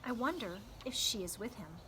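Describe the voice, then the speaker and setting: Stranded boy survivor performed by a woman for a storybook: highish pitch, earnest, emphatic, excitable, slightly noisy recording. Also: normal speed, close to an everyday voice. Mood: wistful.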